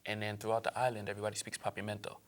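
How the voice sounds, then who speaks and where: A man's voice: lowish voice, monotone, noisy background. A young man from Aruba, a guest on an interview show, talking casually and eagerly with fillers along the way. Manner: fast, eager, frequent fillers and laughs.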